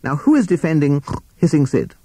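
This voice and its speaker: A man's voice: a little high, big pitch swings, brisk, dark tone, husky, dry recording, voice pushed up. A man voicing an impatient, easily annoyed pig judge in a children's story, blustering and barking out orders.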